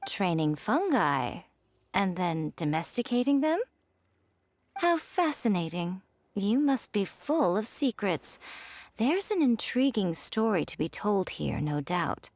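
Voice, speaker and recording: A woman's voice: medium pitch, big pitch swings, slow, dry recording, exaggerated voice. A woman voicing an elegant, sly priestess and editor in a video game, with a poised, teasing, theatrical manner. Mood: intrigued.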